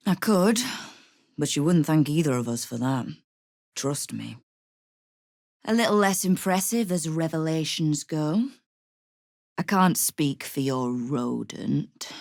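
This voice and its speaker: A woman's voice: sits low, creaky, darkish tone, no room sound. An actress playing a shrewd, hard-edged female crime guild boss in a video game, with a dry, wry manner and veiled threats. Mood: menacing. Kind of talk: scripted video game voice acting.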